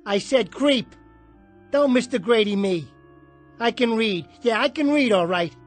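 An actor playing a bitter, washed-up small jockey in a radio drama, husky and combative, talking in streetwise slang. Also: male voice, extremely high pitch, lively intonation, unhurried, faint music behind, full character voice. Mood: belligerent.

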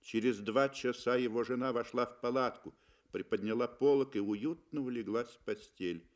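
A man narrating a story in a measured, deliberate, expressive way, in the style of 1960s radio drama. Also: medium pitch.

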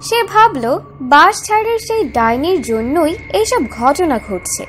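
A woman's voice: very high voice, very animated, unhurried, fairly bright tone, soft background music. A woman narrating a scripted fairy tale in a dramatic storytelling style.

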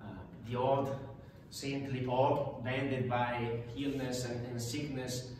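A middle-aged priest speaks from the front of a classroom, circling back and repeating words as he searches for phrasing. He sits at a middle pitch and is picked up from across the room. The sound is very echoey, with a faint hum behind it. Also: flat delivery, darkish tone, recorded from a distance. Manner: halting, many restarts and tag questions. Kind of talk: parish talk on prayer. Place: parish classroom. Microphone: far camera.

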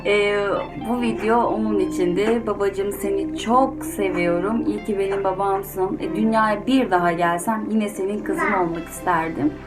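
A woman hosting children's stories, speaking informally and directly to young listeners with gentle advice. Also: mid-range voice, steady tone, normal speed, few pauses. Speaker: children's storyteller and channel host. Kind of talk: children's story reading, then informal address to children. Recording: music under the voice. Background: music.

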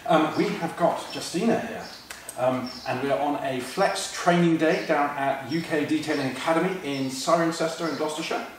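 A man's voice: a little high, normal speed, few pauses, slight background noise. Casual male magazine interviewer, filler-heavy; recorded far off in an echoey workshop. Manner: chatty, many ums and fillers. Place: large workshop garage, indoors.

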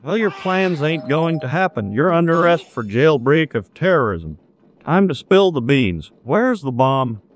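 A man's voice: on the high side, very animated, a little slow, pitched below the performer's own voice, full character voice. A man voicing a tough, stern, folksy police officer in a cartoon style for a video game.

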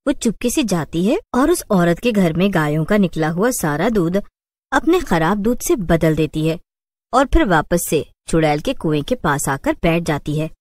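A woman's voice: on the low side, sing-song, normal speed, darkish tone, no room sound. A woman storyteller reading scripted narration for a children's cartoon tale.

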